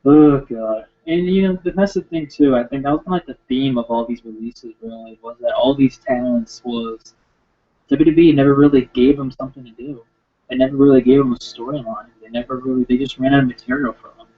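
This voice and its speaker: A man ranting casually and sarcastically about wrestling, rambling and slipping into quick impressions. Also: medium pitch. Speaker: wrestling commentator. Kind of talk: casual rant. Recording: tinny phone-like lo-fi sound.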